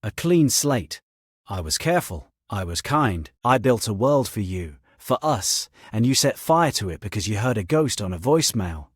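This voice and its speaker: A man voicing a cold, controlling, deceitful girlfriend holding a gun in an audio story, flat and menacing in manner. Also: fairly low pitch, normal speed, dry recording, studio quality. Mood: bitter, self-justifying.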